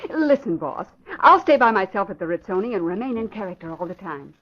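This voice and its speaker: A woman's voice: mid-range voice, lively intonation, brisk, close to an everyday voice. Coy, lilting radio-drama dame played by a woman. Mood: eager. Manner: coy, teasing, arch. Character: plucky coy woman reporter posing as a thrill-seeking socialite. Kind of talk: 1940s radio crime drama acting. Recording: muffled old radio recording, noisy.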